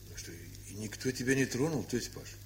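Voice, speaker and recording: A man's voice: medium pitch, animated, brisk, close to an everyday voice. A man playing a wry, puzzled visiting nephew in an audio play. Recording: hissy, humming 1970s record.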